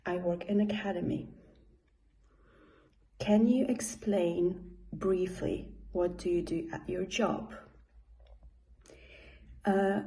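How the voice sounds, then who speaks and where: A woman from Poland, Polish by first language, who works as an English teacher, answering interview questions with hesitant pauses. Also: mid-range voice, dark tone, rough recording. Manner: slow, with hesitant pauses and fillers.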